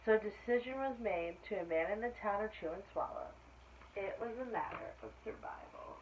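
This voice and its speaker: Female children's story reader, mid-pitched, simple explanatory asides to kids, muffled low-fidelity recording with slight noise. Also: fairly quick. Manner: explains simply to young listeners. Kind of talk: read-aloud picture book narration with asides to children. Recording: dull lo-fi sound with faint noise.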